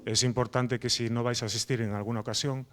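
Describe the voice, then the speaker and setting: A man organizing a meetup, making announcements and introducing the speaker, nervous and halting with many fillers. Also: mid-range voice, flat delivery, a little hiss or hum.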